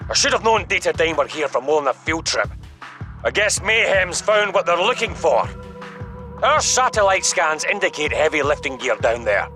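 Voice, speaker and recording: A man's voice: a little high, sing-song, moderate pace, sharp tone, soft background music. A man playing a stern but concerned spymaster in a video game, giving earnest orders. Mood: urgent briefing. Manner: commanding, earnest. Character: stern, concerned male spymaster commanding by radio. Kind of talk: video game cutscene acting.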